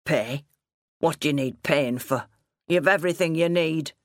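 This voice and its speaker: A woman's voice: low-pitched, measured pace. A woman voicing a stern, gaunt farmer father in an audiobook, with a growling, sarcastic manner and rustic dialect. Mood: suspicious, scornful. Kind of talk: audiobook character dialogue.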